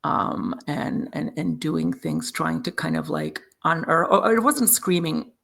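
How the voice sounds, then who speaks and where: Gravelly, animated man. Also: a little high. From Serbia. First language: Serbian. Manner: halting, many fillers and restarts. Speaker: guest, sound healing practitioner. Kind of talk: personal storytelling in conversation.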